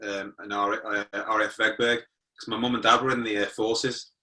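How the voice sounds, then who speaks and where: A British man in his forties with a low-set voice and a bright, forceful edge. He tells his story informally, as a guest would in an interview, and sits a little way from the microphone. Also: little pitch movement, moderate pace, recorded from a distance. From born in Germany, moved to the UK at nine. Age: 40s. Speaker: interview guest, motivational speaker.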